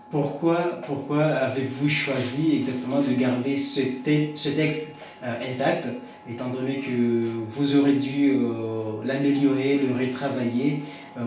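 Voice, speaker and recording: A man hosting an interview, asking questions in a halting, hesitant way. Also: medium pitch, darkish tone. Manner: halting, hesitant phrasing with many drawn-out pauses. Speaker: interviewer, show host. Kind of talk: radio-style interview questions. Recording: very echoey room, distant mic, music behind.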